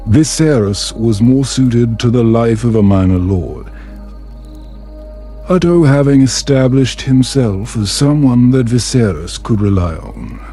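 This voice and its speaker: A man narrating a scripted bedtime story in a calm, soothing, measured manner. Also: medium pitch. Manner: soothing, measured, unhurried delivery.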